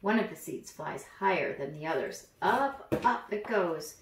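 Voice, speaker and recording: A woman reading a picture book aloud to young children in an expressive storytelling manner, with simple phrasing. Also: on the low side, measured pace, slight background noise. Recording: roomy echo, distant from the mic.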